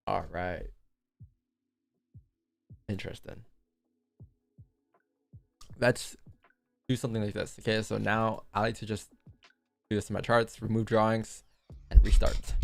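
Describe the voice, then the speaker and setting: A man's voice: on the low side, vocal fry, a little mellow. A young man hosting a live trading stream, talking casually and jokingly straight to his chat while he walks through charts. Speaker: livestream trading host.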